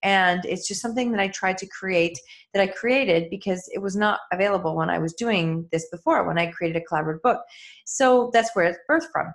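A middle-aged woman coach speaking candidly and earnestly to her listeners in a reflective solo talk, with soft laughs. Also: mid-range voice, a little fast. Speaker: coach and author.

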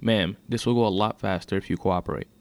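Guy reading as a by-the-book cop in an audiobook, formal n polite, lowish voice. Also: fairly even intonation, quick pace, lightly acted. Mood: firm, formal. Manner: formal, procedural. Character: polite by-the-book young male transit police officer.

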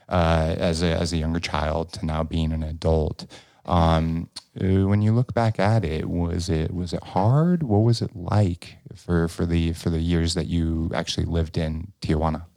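A low-pitched man hosts his podcast in a loose, upbeat way and swears casually now and then. His voice sounds close and dry, with no room echo. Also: unhurried, gravelly, dark tone. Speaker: podcast host. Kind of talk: casual interview chat.

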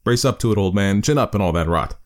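A man's voice: fairly low pitch, animated, fast, natural voice. A man voicing a lonely stranded space explorer, nervous and arguing with himself. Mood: anxious.

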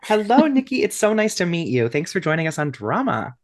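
An excitable, gushing man co-hosts a chatty podcast, his pitch leaping around as he talks. He laughs often, and the sound is close and dry with no room around it. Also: brisk, dry recording. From Ohio. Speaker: podcast co-host. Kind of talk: casual chat, reading a guest introduction.

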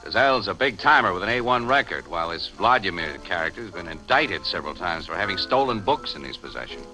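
A man's voice: sits low, big pitch swings, fast, sharp tone, full character voice, rough recording. An American man playing a breezy, slangy crime photographer in an old radio drama. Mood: sardonic, keen. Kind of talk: 1950 radio acting. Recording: old 1950 radio recording with music.

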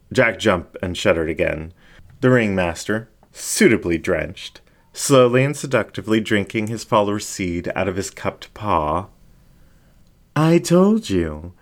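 A man reading a story aloud as narrator, with expressive scripted delivery. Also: low-pitched.

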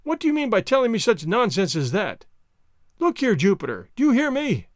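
A man voicing an obsessive, excitable gentleman who gives urgent, impatient orders in formal diction for a storybook reading. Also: high voice, a little fast, no room sound, studio quality. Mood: exasperated.

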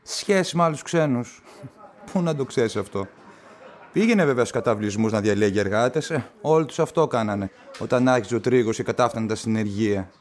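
An actor as a village coffeehouse man with a mid-pitched voice. He gossips in a colloquial, opinionated, plain-spoken way, in naturalistic radio-drama style. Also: close to an everyday voice. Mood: offhand.

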